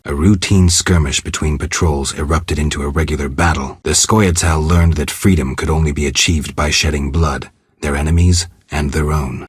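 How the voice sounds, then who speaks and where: A stoic mercenary monster hunter from a fantasy video game, with an extremely low, heavily rasping voice. He is terse and sardonic, delivering everything in a dry deadpan. The sound is close and dry. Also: no room sound, heavily acted. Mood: grave, measured. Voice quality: gravelly, husky rasp. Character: stoic, sardonic monster-hunting mercenary warrior, gruff adult man.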